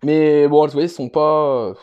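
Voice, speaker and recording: A man's voice: a little high, steady tone, normal speed, clear, resonant tone, muffled recording. A man giving a casual, unscripted show-and-tell as a vlogger, speaking hesitantly and rambling, often repeating himself. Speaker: vlogger presenting a card collection.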